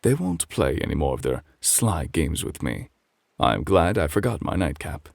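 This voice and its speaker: A man voicing a grumpy, indignant male bear who scolds in a measured, old-fashioned way in a bedtime story. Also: rumbling low, sing-song, creaky, no echo, clean studio sound, heavily acted. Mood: smugly satisfied.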